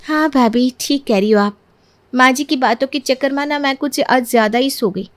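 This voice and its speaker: A coy, stubborn young daughter-in-law from a cartoon tale, acted by a woman. Her voice is very high and mock-innocent, recorded close and dry. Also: normal speed, no room sound, close to an everyday voice. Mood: agreeing. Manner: mock-innocent, self-justifying. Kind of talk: cartoon moral story voice acting.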